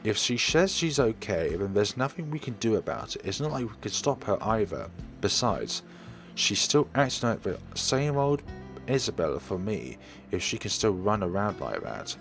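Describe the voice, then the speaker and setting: Man acting male friend, low voice, firm, casual, impatient, visual novel game, faint music. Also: sing-song, normal speed. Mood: firm, reassuring. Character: Ashton, smirking, impatient, reassuring adult male friend.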